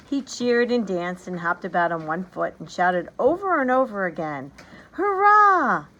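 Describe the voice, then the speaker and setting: A woman reading a children's story aloud in an animated, expressive, emphatic storytelling manner. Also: lowish voice, poor audio. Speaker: storybook reader. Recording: background noise behind the voice.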